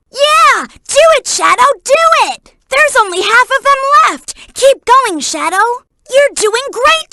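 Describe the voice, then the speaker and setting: A woman's voice: extremely high pitch, pushed and bright, dry recording, studio quality, heavily acted. A woman voicing an eager, friendly young boy fox sidekick in a video game, with a childlike, encouraging way of talking. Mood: cheering on. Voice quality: childlike, boyish. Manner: earnest, encouraging, helpful. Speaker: video game helper character. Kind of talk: video game voice clips, cheers and tutorial hints.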